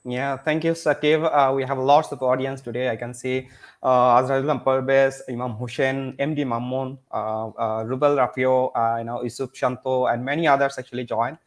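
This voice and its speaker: A man's voice: mid-range voice, flat delivery, moderate pace. A man hosting an online panel, full of fillers like "uh" and "you know", now and then switching into Bengali. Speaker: host.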